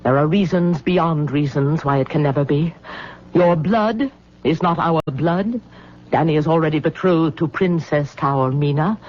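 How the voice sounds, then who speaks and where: A woman's voice: low-pitched, a little slow, darkish tone. Stern, regal island queen mother. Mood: stern, regretful. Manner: formal, solemn, pronouncing. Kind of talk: old radio drama acting. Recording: dull lo-fi vintage radio sound.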